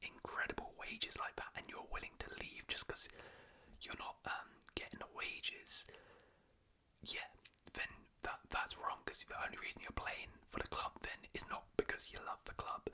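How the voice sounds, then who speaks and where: A man whispering casual, rambling talk as a football fan, with frequent restarts. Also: normal speed. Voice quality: soft whisper throughout. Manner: rambling, conversational, restarts often.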